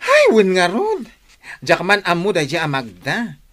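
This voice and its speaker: An actor playing an effeminate, gossiping cousin who stirs up trouble with shrill exclamations in a radio drama. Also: male voice, huge pitch swings, normal speed, fairly bright tone, heavily acted. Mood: gossipy.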